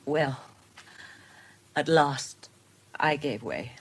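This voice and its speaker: An actress playing a gentle, independent middle-aged British woman in a period radio drama, speaking earnestly and tenderly with careful articulation. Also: low voice, very slow, a little hiss or hum, exaggerated voice. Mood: wistful, regretful. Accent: British English.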